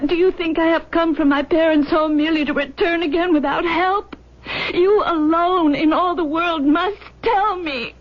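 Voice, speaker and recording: An actress playing a stubborn, desperate young runaway bride in a radio melodrama, intense and pleading. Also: female voice, very high voice, moderate pace. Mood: desperate, tearful. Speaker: actor in a radio drama. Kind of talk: 1970s radio melodrama acting. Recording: muffled 1970s radio recording.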